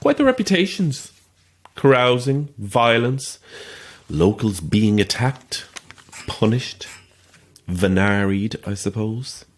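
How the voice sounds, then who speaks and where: A deep-voiced, self-important Irish actor. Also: male voice, very animated, slow, pauses often, full character voice. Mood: storytelling. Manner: boastful, chatty, theatrical asides. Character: chatty, self-important small-time male actor, pleased with himself. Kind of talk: naturalistic audio drama acting.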